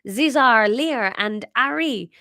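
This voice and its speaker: A woman's voice: on the high side, lively intonation, sharp tone, no echo, studio quality, heavily acted. A woman voicing a worried, careful father with a French accent. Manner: slow and careful.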